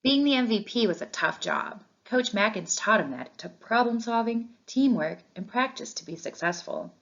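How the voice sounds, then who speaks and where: A woman reading a children's storybook aloud in an expressive narrating style, on a dull lo-fi recording. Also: a little high, moderate pace. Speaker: storybook reader.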